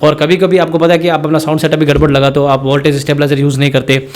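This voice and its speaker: A man's voice: fairly high pitch, completely flat delivery, racing. A man from India speaking, explaining sound equipment in a rambling tutorial that addresses viewers directly as friends. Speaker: musician teaching sound setup. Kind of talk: spoken tutorial.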